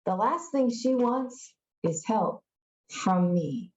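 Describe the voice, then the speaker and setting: A woman's voice: fairly high pitch, lively intonation, slow pace, a little mellow, echoey room, highs cut off. A woman reading the part of a cool, reluctant middle-school boy schemer in a storybook, terse and understated. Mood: dismissive, firm.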